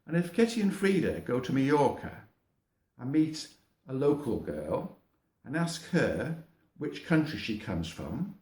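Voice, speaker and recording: A man's voice: medium pitch, sing-song, unhurried. A middle-aged man, patiently explaining a lesson to young children while he marks up a map. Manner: patient, gentle, narrates while drawing on a map.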